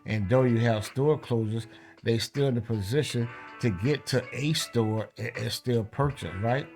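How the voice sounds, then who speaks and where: A man's voice: medium pitch, a little slow, darkish tone, faint music behind. A man preaching in a scripture read-along, emphatic, repeating phrases and checking in with rhetorical questions.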